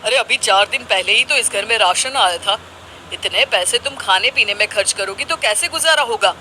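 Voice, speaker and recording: A naive, thrifty young husband in a children's cartoon , played by a man. His voice is high and very bright. He exclaims and argues eagerly. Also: normal speed, a little hiss or hum, low-quality recording. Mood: shocked, complaining. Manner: exclaiming, argumentative.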